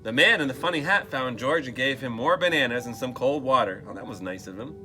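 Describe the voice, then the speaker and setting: Male read-aloud storyteller: bright, lively pitch swings, chatty asides to young listeners. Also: fairly quick, faint music behind. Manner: expressive, asks listeners questions in muttered asides. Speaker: teacher reading aloud to children.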